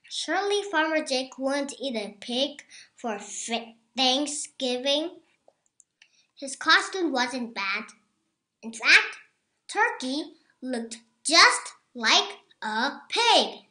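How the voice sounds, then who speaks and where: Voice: sits high, sharp tone. A six-year-old child reading a picture book aloud, word by careful word. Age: child, six years old. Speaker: young storybook reader. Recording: roomy echo.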